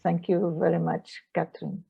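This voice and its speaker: A woman's voice: on the low side, flat delivery, moderate pace, vocal fry, breathy edge, highs cut off. A middle-aged woman from Portugal with a Portuguese accent, speaking English as a second language while giving an online lecture as a mediator and trainer, with frequent hesitations and non-native phrasing.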